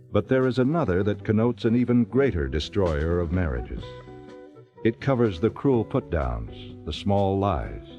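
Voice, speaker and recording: A low-voiced man narrates a radio drama solemnly and deliberately, with expressive swings in pitch. The recording is slightly dull, with guitar music behind him. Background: plucked guitar music.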